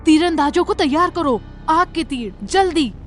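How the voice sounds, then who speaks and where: A woman voicing a brave, resolute young queen in an animated story, with a firm, commanding manner. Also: high voice, unhurried, faint music behind, pitched above the performer's own voice. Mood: urgent command.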